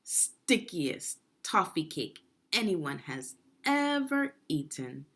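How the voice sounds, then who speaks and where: A woman's voice: lowish voice, sing-song, slow, fairly bright tone, slightly rough, exaggerated voice. A woman reading the part of a boastful, confident chef in a children's storybook, with emphatic delivery. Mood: proud, boastful.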